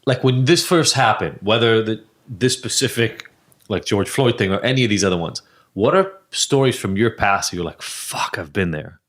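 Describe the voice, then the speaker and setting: A man in his forties, from Israel, hosting a casual interview and working through questions with pauses and restarts. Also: on the low side, animated, a bit bright. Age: 40s. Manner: thoughtful, searching questions with self-interruptions. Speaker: podcast host.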